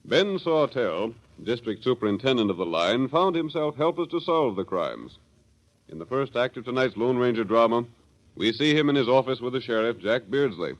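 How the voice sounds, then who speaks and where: A man's voice: medium pitch, sing-song, moderate pace, dull audio. Dramatic old-radio American male announcer. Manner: dramatic, declamatory. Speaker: announcer, narrator. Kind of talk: 1930s radio scripted narration. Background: music.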